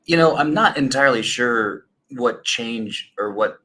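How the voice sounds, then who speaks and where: A man answering as a guest in a webinar, relaxed and conversational. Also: mid-range voice, unhurried. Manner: relaxed, conversational with "you know". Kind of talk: casual expert answers.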